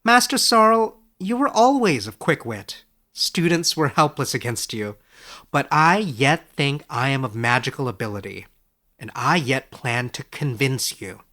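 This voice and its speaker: A man voicing an earnest, excitable young former student in a storybook, eager and quick to defend himself. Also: medium pitch. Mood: eager, proud. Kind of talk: storybook character voice in audiobook narration.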